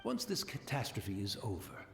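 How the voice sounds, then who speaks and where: A man's voice: fairly low pitch, huge pitch swings, moderate pace, vocal fry, music in the background, full character voice. A man voicing a humble, serene old blind tea master in a video game, speaking formally and reflectively. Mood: wistful, resigned. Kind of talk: dramatic video game voice acting. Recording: roomy echo, faint game ambience noise.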